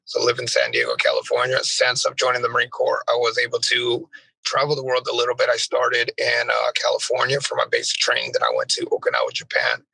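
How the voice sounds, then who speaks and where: A man in his thirties whose voice is raspy and gravelly, worn rough from years of yelling. His tone is bright and tense, and he talks in a halting way, stopping and restarting often. The sound is dry and close. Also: medium pitch, sing-song, dry recording, poor audio. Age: 30s. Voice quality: raspy, strained from drill yelling. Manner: halting, with many restarts and fillers. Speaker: guest. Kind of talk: casual interview chat.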